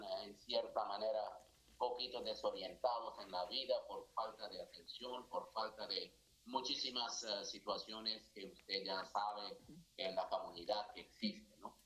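A middle-aged priest from India speaks with an Indian accent, earnest and halting, full of small fillers. His voice is bright and forceful. He talks over a video call from a small office. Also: fairly low pitch, a little hiss or hum, poor audio. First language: not Spanish. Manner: earnest, gestures a lot, halting non-native phrasing with many fillers. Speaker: priest, foundation director. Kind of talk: live TV interview by video call. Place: indoor office with a religious statue.

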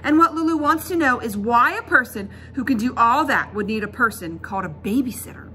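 A middle-aged woman reading a children's book aloud as a teacher, expressive, emphatic and instructive. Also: a little high, brisk. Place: indoors in a school classroom. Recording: classroom recording with background noise.

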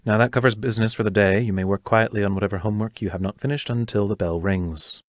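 A man's voice: fairly low pitch, steady tone, fast talker, no echo, studio quality, natural voice. An actor playing a stern, strained male schoolteacher in an audio play, formal and clipped in his delivery. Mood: brisk, businesslike. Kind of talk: audio drama acting.

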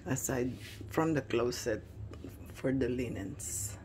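A woman giving a casual, halting tour of her newly finished basement, filming on a phone indoors. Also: very deep voice, mellow, a little hiss or hum. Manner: hesitant, many restarts and fillers. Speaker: home vlogger. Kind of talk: casual home tour narration.

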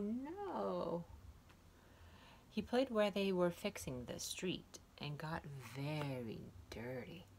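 Young female storyteller for a children's picture book, a bit low-pitched, expressive and playful, noisy indoor phone recording. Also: creaky. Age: young adult. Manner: playful, expressive, exclaiming and questioning. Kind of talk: animated read-aloud storytelling for young children, with asides. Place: indoors, wood-ceilinged room.

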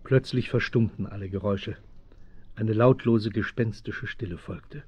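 A man as the measured, suspenseful narrator of a German children's radio play, with a husky voice. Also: lowish voice, slight background noise, natural voice. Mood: storytelling. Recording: muffled 1970s radio play recording.

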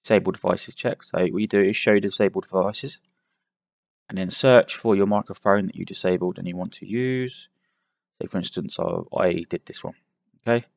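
Low-voiced man giving a casual tech tutorial. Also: normal speed, creaky, a little mellow, no room sound, studio quality. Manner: friendly, chatty direct address to viewers. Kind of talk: casual screen-recorded walkthrough. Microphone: close headset microphone.

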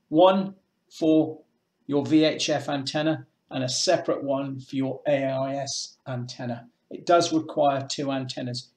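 A man's voice: on the high side, a little mellow. A middle-aged man presenting a product demonstration in a matter-of-fact, explanatory way. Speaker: presenter of a boating shop's product video. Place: small indoor room with painted block walls. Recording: echoey small room.

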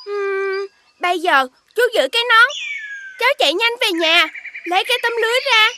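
A woman playing a crafty, cheeky peasant boy in an animated fairy tale, pert and argumentative. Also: extremely high pitch, moderate pace, background noise. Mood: scheming.

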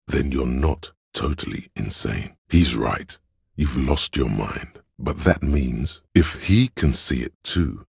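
Very deep, gravelly voice of cold logic. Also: male voice, no echo, clean studio sound, full character voice. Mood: cool reasoning. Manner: measured, deliberate, analytical. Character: cold, analytical inner voice of a detective's logic, condescending. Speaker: video game voice actor. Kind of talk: scripted game narration.

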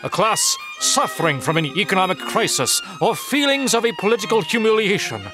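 High-voiced man narrating with theatrical flair. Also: animated, fairly quick, over music. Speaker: narrator of a comic dub.

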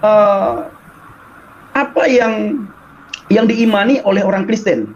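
A man's voice: sits high, animated, slow. A man, giving an earnest, repetitive personal testimony on a video call, restarting his sentences and often addressing the host. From Aceh, Indonesia. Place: small room indoors. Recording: dull lo-fi video call, faint background noise.

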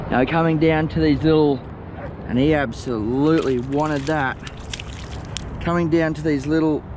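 Slow, chatty Australian man outdoors. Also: a little high. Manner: relaxed, chatty, unhurried with fillers. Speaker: fishing vlogger. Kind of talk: casual fishing commentary. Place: outdoors by a lake shore. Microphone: head-mounted action camera. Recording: outdoor noise, wind and water.

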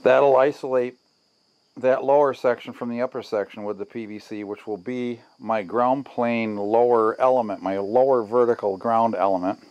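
A man's voice: medium pitch, fairly even intonation, moderate pace, no echo, dull audio. A middle-aged man giving a casual, folksy hands-on how-to, thinking aloud as he works. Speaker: DIY workshop host. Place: outdoors by a house and in a barn workshop.

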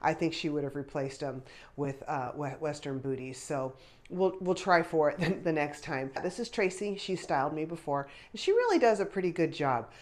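Low-voiced congested woman in her fifties. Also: animated, normal speed, darkish tone. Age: 50s. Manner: chatty, thinking aloud with small laughs. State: congested, a little stuffy. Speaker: fashion try-on vlogger. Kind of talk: casual unboxing and try-on chat. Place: small pink bedroom, indoors. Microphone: clip-on lapel mic.

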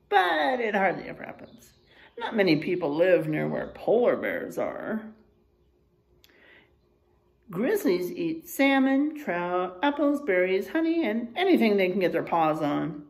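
Slow, animated woman reading to children. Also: mid-range voice, vocal fry. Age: middle-aged. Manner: deliberate, expressive, pausing to explain simply to young children. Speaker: kindergarten teacher. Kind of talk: children's book read-aloud with explanatory asides. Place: indoors, in a room with drywall and posters.

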